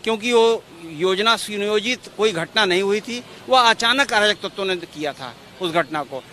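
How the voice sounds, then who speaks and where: A man's voice: sits high, moderate pace, a bit bright. A man, a lawyer giving formal, repetitive explanations in a press interview. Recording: outdoor street noise behind.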